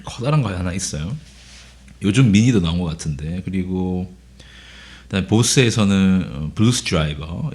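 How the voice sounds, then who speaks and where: A man with a hoarse voice from a cold, casually explaining guitar pedals in a relaxed way, with frequent filler sounds. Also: fairly low pitch. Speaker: guitar teacher. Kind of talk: casual gear demo lesson.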